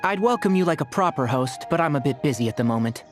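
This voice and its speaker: A man voicing a calm, polite young male forest guardian with a composed, reassuring manner in a game dub. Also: on the high side, fast talker, close to an everyday voice. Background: game music.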